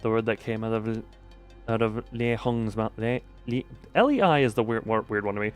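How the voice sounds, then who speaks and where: A man with a fairly low voice reads game dialogue aloud on a livestream. He moves between theatrical reading and dry, casual commentary, with faint music behind him. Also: normal speed.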